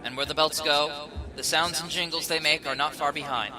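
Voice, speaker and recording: A man's voice: fairly high pitch, steady tone, normal speed, over music. A man reading scripted narration as a guest presenter on a video.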